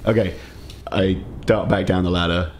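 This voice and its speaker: A man's voice: sits low, steady tone, normal speed, slight background noise. A man playing a tabletop roleplaying game in a casual, laid-back, slangy manner.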